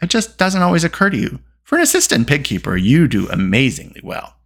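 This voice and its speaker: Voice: mid-range voice, huge pitch swings, a little fast, voice pushed up. A storyteller voicing an outspoken, tart-tongued teenage princess who teases and scolds with exclamations. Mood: teasing. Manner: exclaiming, teasing, indignant.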